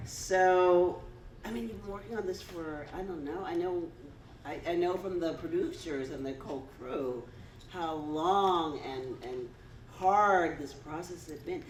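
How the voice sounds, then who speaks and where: A playful moderator hosts a live panel and cheers the guests on. The voice is mid-pitched and sounds distant, with a roomy echo and steady noise in the hall. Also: measured pace. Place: theater stage before an audience. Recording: echoey hall, noisy, far mic.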